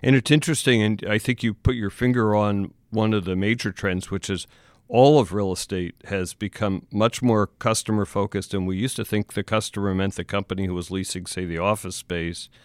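Man interviewing, somewhat low voice, smooth and resonant, dry close sound. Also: fairly even intonation, dry recording. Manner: measured, thoughtful, asks at length. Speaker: podcast host, interviewer. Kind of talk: interview questions.

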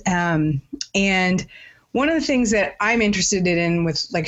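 Woman, fairly low voice, podcast co-host, discursive interview chat, somewhat muffled recording.

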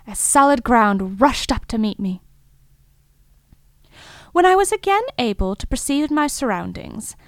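A woman acting a thoughtful field reporter in an audio fiction monologue, speaking in a measured, lyrical, wondering way. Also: a little high, no room sound. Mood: searching, reflective. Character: thoughtful, wondering female narrator filing a field report on strange creatures. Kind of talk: scripted reflective first-person audio fiction monologue.

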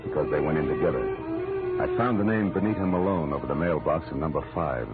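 A male actor with an American accent playing a wry, deadpan private detective in a 1940s radio noir drama. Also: extremely low pitch, quick pace. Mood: wry, matter-of-fact. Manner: deadpan, wisecracking. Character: wry, hard-boiled male private detective narrating his case. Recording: muffled old radio recording, music behind.

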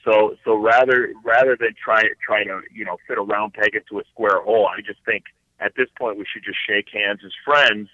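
A man explaining his work as an interview guest in a casual, halting way, restarting his phrases again and again. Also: on the low side, fairly even intonation, pushed and bright, no room sound. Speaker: podcast guest, financial advisor. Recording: thin phone-like line, dry.

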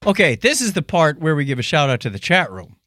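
A fast-talking man co-hosting a casual science chat, animated and given to acting out little quips. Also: on the high side, no room sound, studio quality. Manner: animated, acts out quips in mock voices. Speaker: podcast co-host.

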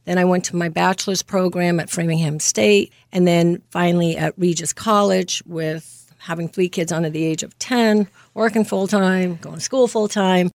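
Earnest woman, low-ish voice, dry sound. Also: steady tone, normal speed, hardly any pauses, a little mellow, dry recording. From Greece. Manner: earnest, laughs often. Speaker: nurse practitioner, interview guest. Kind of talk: casual interview.

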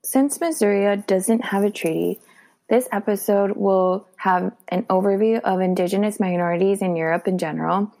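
A woman host reads a scripted opening at an even, steady pitch. She sounds composed and prepared. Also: moderate pace. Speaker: podcast host. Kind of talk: scripted introduction.

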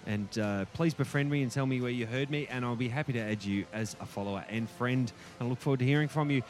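A man's voice: medium pitch, quick pace, soft background music. An Australian man speaking casually as a podcast guest, hedging and repeating words for emphasis. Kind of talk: casual chat.

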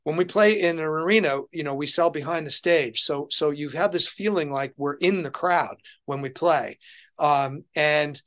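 A man speaks as the guest in a relaxed interview. His voice sits a little high. He is an enthusiastic touring keyboard player who explains things through anecdotes in an informal, conversational way. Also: normal speed. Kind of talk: casual interview chat.

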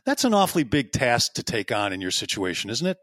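A man interviewing a guest conversationally, choosing his words carefully with some halting repetition. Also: medium pitch, very animated, fairly bright tone, no room sound.

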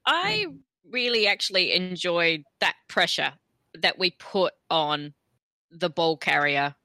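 Bright, croaky Australian woman. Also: lowish voice, no echo. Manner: quick, laughing. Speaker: podcast co-host. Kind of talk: casual sports chat.

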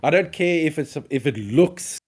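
A man's voice: fairly high pitch, lively intonation, normal speed, darkish tone, husky. A man co-hosts a casual podcast, talking bluntly and swearing freely. He stumbles and restarts his sentences as he rants. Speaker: podcast co-host. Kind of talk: casual chat.

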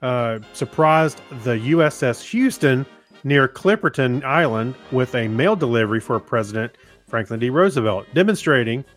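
A man's voice: medium pitch, animated, normal speed, soft background music. A man co-hosting a podcast, reading notes and trivia and trading wry, casual banter. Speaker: podcast co-host. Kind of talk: casual chat with listener feedback and trivia.

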